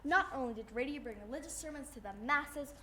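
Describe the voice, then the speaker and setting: A woman's voice: sits high, fast talker, bright, forceful tone, slight background noise. A young teenage girl playing a theatrical, earnest co-host in a scripted old-time radio show. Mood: bright, announcing.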